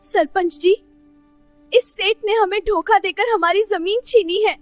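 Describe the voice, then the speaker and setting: Female actor as plucky young farmer's daughter, high voice, clever, earnest, resolute, village moral-story drama, music behind. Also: measured pace, dry recording. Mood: defiant. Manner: determined, pleading, respectful.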